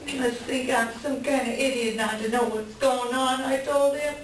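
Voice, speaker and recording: A woman's voice: sits high, steady tone, normal speed, close to an everyday voice. A woman playing an upset, bitter wife venting about her cheating husband in a staged play reading. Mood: distraught, embarrassed. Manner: agitated, colloquial venting. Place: library room reading before an audience. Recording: very echoey room, distant mic, faint noise.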